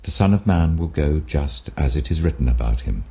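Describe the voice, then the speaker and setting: A British man voicing a grave, authoritative male teacher in a scripture reading, speaking solemnly and deliberately. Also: a bass voice, lively intonation, creaky, warm tone, breathy edge, exaggerated voice. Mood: grave warning.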